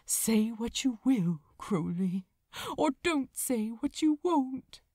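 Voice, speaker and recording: A woman voicing an earnest, tearful male angel confessing love in a halting, fervent way, as in an audiobook. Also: on the high side, lively intonation, mellow, no echo, clean studio sound. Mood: tender, resolute. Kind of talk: emotional audiobook character dialogue.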